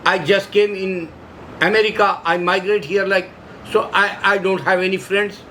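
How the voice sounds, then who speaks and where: A man's voice: high voice, steady tone, moderate pace, pushed and bright, slight background noise. A man from India whose first language is Urdu, speaking in broken English with an Indian accent, preaching in short emphatic phrases. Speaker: religious video maker. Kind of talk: solo preaching monologue.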